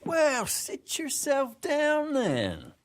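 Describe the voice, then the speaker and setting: An actor playing a pushy, folksy American card player in a western game. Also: male voice, very high-pitched, huge pitch swings, very slow, heavily acted. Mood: coaxing. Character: pushy, folksy saloon gambler. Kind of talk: western video game acting.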